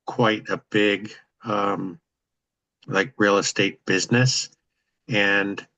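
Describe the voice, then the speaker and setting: Guy on a zoom call, deep-ish flat voice, steady, says um and like, dry audio. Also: no echo, rough recording. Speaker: member of an accountability group. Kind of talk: casual video call chat. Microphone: video call.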